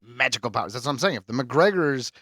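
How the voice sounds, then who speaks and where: A man's voice: medium pitch, lively intonation, a bit bright, no echo, studio quality. A man co-hosting a podcast in a casual, teasing back-and-forth. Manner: teasing, quick banter. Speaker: podcast co-host. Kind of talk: casual chat.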